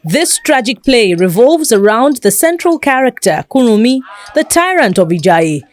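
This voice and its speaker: Female TV reporter, Nigerian accent, scripted voice-over, lively intonation, slightly high. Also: normal speed. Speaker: arts reporter.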